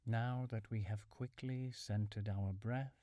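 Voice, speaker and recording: A man leading a calm guided mindfulness meditation in a soothing, measured, instructional way, with soft breathy phrase endings. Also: lowish voice, no echo. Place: small furnished room at home.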